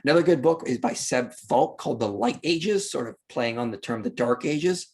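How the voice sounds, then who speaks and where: A man's voice: mid-range voice, expressive, moderate pace, a little mellow, breathy edge. A man speaking as an interview guest, explaining history in unscripted podcast talk with an emphatic, argumentative manner.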